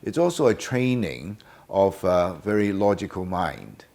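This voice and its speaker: An older man in a very deep voice. He answers unhurriedly and hesitantly, filling his pauses with many "uh"s. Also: big pitch swings, vocal fry, darkish tone. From Hong Kong. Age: about 70. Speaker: guest. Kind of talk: casual interview answers.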